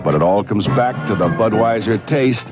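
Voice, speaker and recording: A man's voice: on the low side, normal speed. A man reading a scripted radio commercial as an announcer. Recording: dull old radio broadcast recording. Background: loud music.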